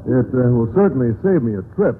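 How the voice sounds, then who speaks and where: An actor playing a naive, polite biology teacher in an old radio sitcom, speaking with hesitant earnestness. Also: male voice, mid-range voice, lively intonation, brisk, natural voice. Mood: mild, earnest. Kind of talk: 1940s-50s radio sitcom acting. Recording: noisy, narrow-band old radio recording.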